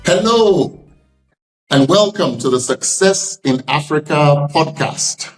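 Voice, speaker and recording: A man's voice: on the high side, lively intonation, takes it slowly, soft background music, low-quality recording. A middle-aged man hosting a podcast, speaking in articulate, emphatic and formal phrases as he introduces and interviews. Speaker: podcast host, leadership scholar.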